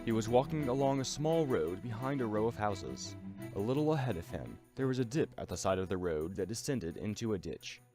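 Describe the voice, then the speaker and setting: A man's voice: on the low side, animated, brisk, over music, lightly acted. A man playing a storybook narrator, telling a dramatic tale in scripted narration. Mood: measured, suspenseful.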